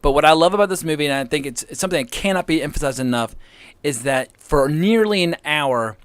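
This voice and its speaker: An American man with a somewhat high voice co-hosts a relaxed film chat. He is enthusiastic and articulate, leaning hard on his key words. The recording is close and dry. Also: quick pace, clean tone, dry recording. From United States, near the Appalachian Mountains. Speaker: guest co-host, film podcaster. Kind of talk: casual film discussion.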